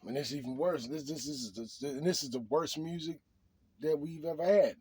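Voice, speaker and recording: A man's voice: fairly high pitch, normal speed, darkish tone, slightly rough, no room sound. A middle-aged man talking off the cuff in an opinion monologue, preachy and emphatic, often repeating his phrases.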